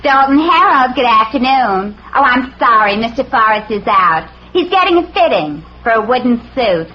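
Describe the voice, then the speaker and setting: A woman's voice: a little high, sing-song, moderate pace. An actress playing a wisecracking, naive young switchboard operator in 1940s radio drama, gushing and slangy. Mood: breezy. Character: chatty, slangy, wisecracking young switchboard girl, cheerfully uneducated. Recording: noisy muffled vintage radio recording.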